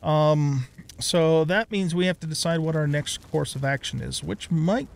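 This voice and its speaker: Casting: middle-aged male gamer host, gravelly fry, slightly high pitch, casual rambling commentary. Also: moderate pace. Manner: rambling, thinking aloud. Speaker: let's play host.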